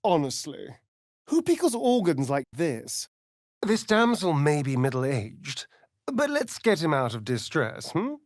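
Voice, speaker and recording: A man's voice: a little high, wildly swooping pitch, measured pace, no room sound, studio quality. An actor playing a flamboyant, seductive, sardonic male vampire rogue in a video game, with an arch, drawling, mocking delivery. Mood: teasing, amused. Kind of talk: theatrical video game character acting.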